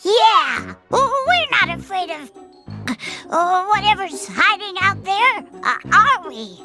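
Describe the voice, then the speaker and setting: Little duckling, squeaky high voice, slow-paced, expressive, nervous and cheeky, music behind. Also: exaggerated voice. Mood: indignant, cheeky. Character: plucky young cartoon ducklings, nervous and cheeky. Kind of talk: animated cartoon game acting.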